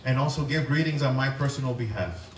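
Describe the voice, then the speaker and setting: A man's voice: medium pitch, fast talker. Male interpreter, echoey and far off. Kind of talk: consecutive interpreting of a speech. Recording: echoey, distant mic, crowd noise.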